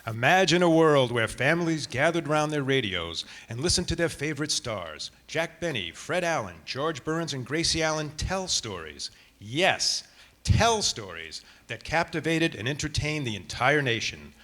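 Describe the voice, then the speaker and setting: Theatrical, animated 1940s radio host played by a man. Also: on the high side. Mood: grand, nostalgic. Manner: theatrical, deliberate, repeats words for effect. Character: showmanlike 1940s radio announcer, grandly nostalgic. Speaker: host of a staged radio show. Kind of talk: 1940s radio host acting, scripted narration.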